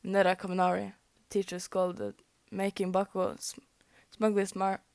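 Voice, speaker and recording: Norwegian-accented woman reader, halting fanfic reading, mid pitch, dry close sound. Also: level tone, a little mellow, no echo. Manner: halting, stumbles over words and rereads.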